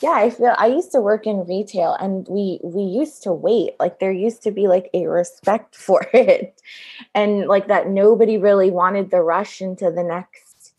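A woman in casual podcast conversation, relaxed and thoughtful, laughing often. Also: medium pitch, a little slow, husky.

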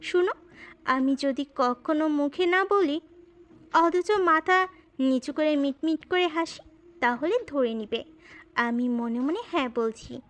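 A woman's voice: sits high, slow pace, pauses often. A woman playing a hot-tempered, possessive but secretly loving young wife in a romantic audio story, with a scolding, coquettish manner. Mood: pouting, demanding. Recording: music under the voice.

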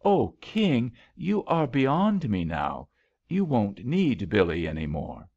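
A man's voice: medium pitch, wildly swooping pitch, slow, darkish tone, highs cut off, heavily acted. A man voicing a timid, tender young woman in love, earnest and pleading, in an audiobook story. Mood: humble, wistful.

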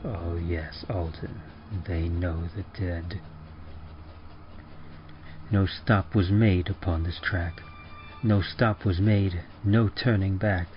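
A man with a very low voice reads horror poetry like an old radio show. His delivery is grave, theatrical and unhurried. The sound is dull, with prominent music behind him. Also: steady tone. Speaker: podcast presenter reading a horror poem. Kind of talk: dramatic rhymed poetry reading in old-time radio horror manner.

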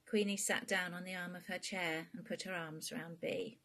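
A woman reads fiction aloud as its author. Her voice sits a little low and has a noticeably husky, breath-worn grain. She narrates as a storyteller introducing and reading her own work. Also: steady tone, moderate pace, fairly bright tone.

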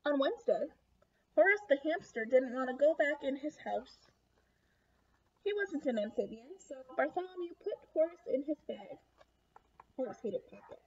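High-voiced young woman reading to children. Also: normal speed, pauses often. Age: young adult. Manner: expressive, addresses young listeners with questions. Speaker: picture book read-aloud host. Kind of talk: children's storybook read-aloud with asides. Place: small room at home, indoors. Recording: dull lo-fi webcam sound.

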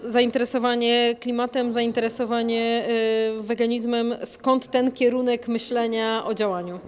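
A woman with a somewhat high voice questions students in a school hallway. She teases and jokes and hesitates a lot between her words, speaking into a handheld mic. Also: little pitch movement, normal speed, slight background noise. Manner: playful, teasing, hesitant questions. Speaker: interviewer, school TV reporter. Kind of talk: casual reportage interview.

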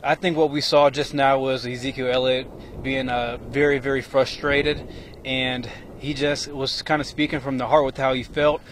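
A man in his thirties, a former player, giving measured, reflective analysis on live TV from an outdoor stadium at night into a handheld mic. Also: mid-range voice, level tone. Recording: faint stadium noise outdoors.

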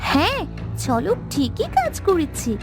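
A woman's voice: very high-pitched, huge pitch swings, slow, gravelly, over music, full character voice. A woman voicing a brave human child in a fairy-tale cartoon, speaking in an earnest, urgent way. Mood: cautioning.

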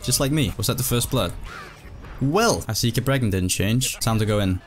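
A man's voice: medium pitch, expressive, normal speed, soft background music. A young man from Denmark giving casual, exasperated, sweary commentary while playing a video game. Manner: sweary, exasperated gamer talk. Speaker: gaming video host.

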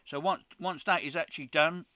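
A man's voice: fairly high pitch, steady tone, a little fast, sharp tone, no room sound, highs cut off. A man from the UK hosting a ham radio channel, walking viewers through a setup step by step in a practical tutorial, with frequent restarts. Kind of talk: screen-recorded tutorial.

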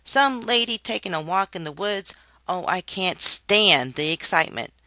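A man's voice: mid-range voice, expressive, moderate pace, bright, forceful tone, no room sound. A man voicing a bored, sassy young worm who whines sarcastically about a dull story. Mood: bored sarcastic. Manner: whiny, sarcastic complaining.

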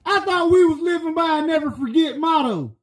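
Man playing a crude loudmouth country guy, rambling profane rant, very high voice, echoey room. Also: expressive, a little fast, faint music behind. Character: crude loudmouth rural American man voter.

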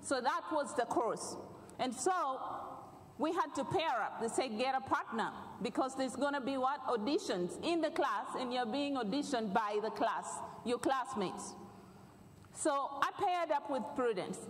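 A woman's voice: high-pitched, expressive, measured pace. A middle-aged woman from Uganda with a Ugandan accent, preaching and praying fervently from a large church stage. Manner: fervent, repetitive praying cadence. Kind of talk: prayer and sermon. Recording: echoey church hall.

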